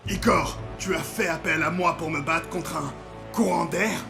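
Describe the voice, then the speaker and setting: A man voicing powerful elemental warriors in a French cartoon dub, with blunt lines and booming attack calls. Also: moderate pace, vocal fry. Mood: indignant, forceful. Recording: loud music under voice.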